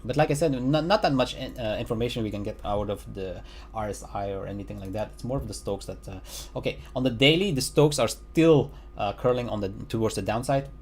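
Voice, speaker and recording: A man casually talking through market charts, with lots of fillers and restarts, recorded at home. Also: on the low side. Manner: halting, many fillers and restarts; chuckles at own remarks. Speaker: crypto market analyst. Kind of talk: casual chart analysis commentary.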